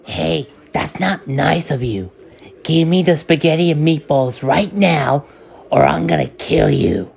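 A man voicing a hot-tempered, clingy young male frog in a homemade skit, whiny and quick to threaten. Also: a little high, lively intonation, creaky, darkish tone, slightly rough, exaggerated voice. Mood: angry.

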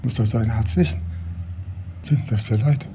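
A man's voice: mid-range voice, normal speed, mellow, close to an everyday voice. An actor playing a blunt, impatient, shady older father who presses people with questions in a crime drama. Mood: brusque. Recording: clearly noisy old drama soundtrack.

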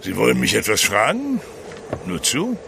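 Gravelly, commanding sea captain. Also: male voice, medium pitch, huge pitch swings, normal speed, noisy background, full character voice. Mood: guarded. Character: gruff, driven ship's captain, commanding and world-weary. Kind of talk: radio drama acting.

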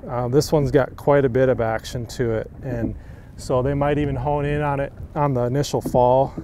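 A man in his thirties, a pro angler, explaining fishing technique in a relaxed, easygoing way from an open boat on a lake. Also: medium pitch, moderate pace, slight background noise. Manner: relaxed, easygoing, many fillers. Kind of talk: on-the-water fishing instruction.

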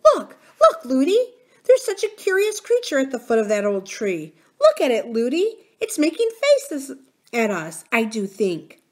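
A woman voicing a little princess, curious and excitable, who chatters eagerly and breathlessly in a storybook reading. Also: high-pitched, measured pace, hardly any pauses, pitched above the performer's own voice, heavily acted. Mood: excited.

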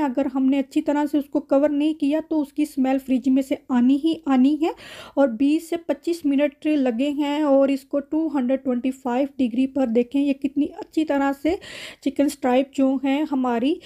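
A woman's voice: high voice, warm tone. A woman with a Pakistani accent narrating home cooking in a conversational recipe voice-over, as a vlogger. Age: adult mother.

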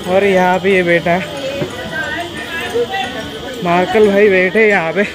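A man's voice: high-pitched, little pitch movement, slow pace, music in the background. A young man talking casually to his viewers while climbing a hillside trail. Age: young adult. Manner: chatty, addresses viewers directly. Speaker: vlogger. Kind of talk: casual travel vlog.

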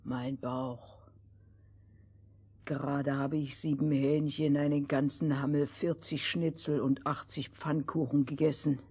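Hungry little fairy-tale man, acted by a woman, self-pitying, moaning, low-key, husky. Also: flat delivery, a little slow, darkish tone. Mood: miserable.

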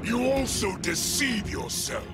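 A man voicing imperious, menacing inhuman villains in a video game, grandiose and gravelly. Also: extremely high pitch, very animated, measured pace, full character voice. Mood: furious. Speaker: game voice actor. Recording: loud music behind.

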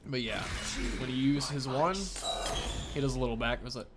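A man's voice: medium pitch, fairly even intonation, moderate pace, heavy background noise. A man in his thirties giving informal, loose game showcase commentary, thinking aloud as he plays. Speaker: gaming video creator. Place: small indoor room. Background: game music.

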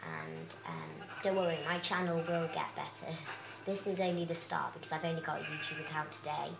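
A young girl rambles through her let's play with plenty of fillers, her voice croaky and gravelly. She talks low for her age. The room around her sounds echoey. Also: lively intonation, a little fast, background noise. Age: child. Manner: chatty, rambling, many fillers. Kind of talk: casual game commentary.

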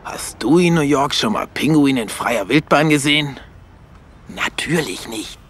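An actor playing a bossy, secretive penguin commando leader in a cartoon radio play, clipped and conspiratorial. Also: male voice, mid-range voice, expressive, unhurried, fairly bright tone, slightly rough. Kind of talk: animated film radio play.

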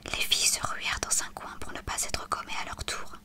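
A narrator whispering a storybook aloud in a soft, unvoiced hush. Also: a little fast. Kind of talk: ASMR whispered storybook reading.

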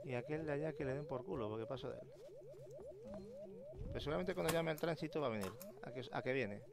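A man's voice: mid-range voice, fast, gravelly, a loud crowd. A man with an accent from Spain doing casual gameplay commentary. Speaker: gaming YouTuber. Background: game ambience.

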